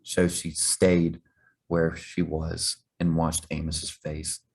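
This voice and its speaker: A man's voice: very deep voice, completely flat delivery, slow pace, vocal fry, breathy edge. A man reading a children's story aloud as scripted narration. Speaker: reader.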